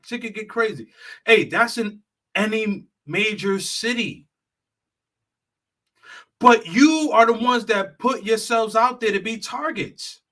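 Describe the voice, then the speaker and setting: Brief: high, bright-toned male vlogger, blunt and profane, lecturing the audience. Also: slow pace, long pauses. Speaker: travel consultant and vlogger. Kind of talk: heated straight-talk monologue.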